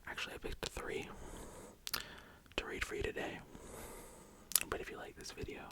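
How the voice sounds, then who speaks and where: A young adult ASMR host speaking and reading poetry in a breathy, gentle whisper, lingering on the words. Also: normal speed.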